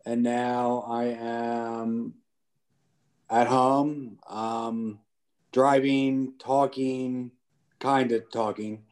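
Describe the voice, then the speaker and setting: Man with aphasia, casual chat, very slow halting delivery, mid-pitched voice. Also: level tone, a little mellow. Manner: slow, halting speech with pauses and restarts. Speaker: podcast guest with aphasia.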